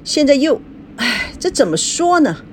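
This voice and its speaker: A woman voicing a flustered male office manager in an audiobook, halting, exasperated and pleading. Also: sits high, big pitch swings, slight background noise, voice pushed up.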